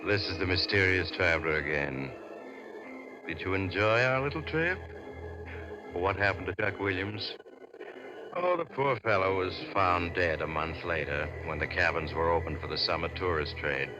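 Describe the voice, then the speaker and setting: An actor playing a suave, eerie traveler who narrates with sly, theatrical geniality in 1940s radio horror style. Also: male voice, low voice, expressive, moderate pace. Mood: sly, macabre. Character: mysterious male traveler, suave, eerie storyteller on a train. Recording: dull vintage radio recording with music.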